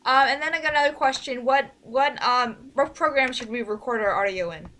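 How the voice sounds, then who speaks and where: A woman's voice: sits high, fairly quick, bright, forceful tone. A woman leading a casual online group meeting, talking informally through instructions step by step. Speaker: organizer leading a voice project meeting.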